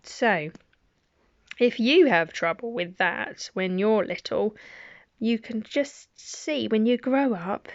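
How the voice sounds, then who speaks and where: A woman's voice: fairly high pitch, expressive, takes it slowly, no echo, highs cut off. A middle-aged woman reading children's poems aloud at bedtime, with playful, chatty asides between the poems.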